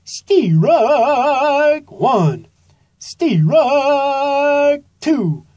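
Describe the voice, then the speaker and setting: A woman voicing an emphatic eagle umpire in a storybook, yelling out calls with stretched words. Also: high voice, sing-song, extremely slow, voice pushed up, heavily acted.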